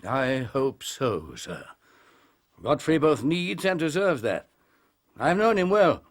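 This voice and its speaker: An actor playing a grave, proud, compassionate older English doctor in a radio drama, speaking in an earnest, formal, emphatic way. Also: male voice, a little high, very animated, slow pace. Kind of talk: British radio drama acting.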